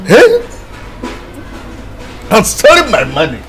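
A man's voice: sits high, huge pitch swings, slow, over music, full character voice. An actor with a Nigerian accent playing an agitated, aggrieved middle-aged father in a film drama, given to repeated exclamations. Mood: outraged. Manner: repetitive, exclamatory outbursts. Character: agitated, aggrieved father raging over stolen money.

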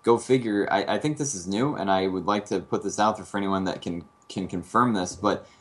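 A man with a very low voice speaks as a guest on a casual talk show. He explains calmly and steadily, filling his pauses with "uh" and "um". His tone is plain and conversational. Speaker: guest, air traffic controller. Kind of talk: casual call-in chat.

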